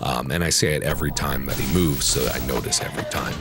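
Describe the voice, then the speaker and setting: A man with a raspy voice from being sick, casually explaining his game strategy to viewers. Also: a bass voice, fast talker. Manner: talkative, explains step by step with fillers. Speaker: gaming video creator. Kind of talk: casual gameplay commentary. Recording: music playing behind the voice.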